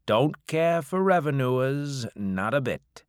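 Man doing a stubborn old farmer, slow rural drawl, lively pitch, dry close sound. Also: clean tone, no echo. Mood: stern. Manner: rural dialect, slow and emphatic. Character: gruff old male farmer, shrewd and stubborn.

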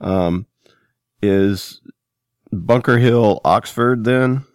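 Low-voiced man hosting, dry sound. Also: very slow, a little mellow, smooth, no echo. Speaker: podcast host. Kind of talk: casual interview talk.

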